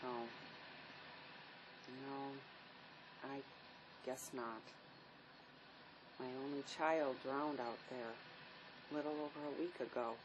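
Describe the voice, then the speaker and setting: A woman's voice: a bass voice, steady tone, slow pace, long pauses, dull audio. A woman acting a weary, grieving divorced mother in a drama, world-weary and subdued. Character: grieving, weary divorced mother who lost her young son. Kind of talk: stage-style dramatic play acting. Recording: noisy amateur recording.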